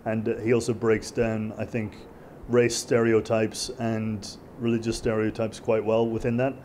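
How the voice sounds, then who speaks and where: A man's voice: on the low side, monotone, moderate pace, dark tone, a little hiss or hum. A man in his forties from Ireland, chatting in an interview in a rambling way in a venue hallway.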